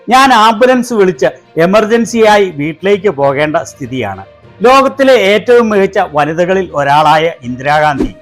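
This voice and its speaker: A man with a Malayali accent delivering scripted, critical commentary as a video host. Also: high voice.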